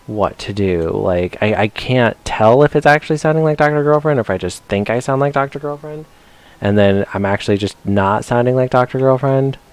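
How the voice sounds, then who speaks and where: A man's voice: medium pitch, clear, resonant tone, no room sound. A man doing casual, rambling gameplay commentary for a live stream, chatting playfully to his viewers as he plays. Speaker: game streamer.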